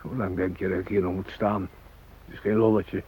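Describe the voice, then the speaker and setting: An actor in a radio drama playing an ordinary captive family man, shaken but protesting in halting, plain-spoken phrases. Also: deep voice, flat delivery, mellow, breathy edge. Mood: weary, subdued. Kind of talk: radio drama acting, naturalistic. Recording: dull lo-fi radio drama recording.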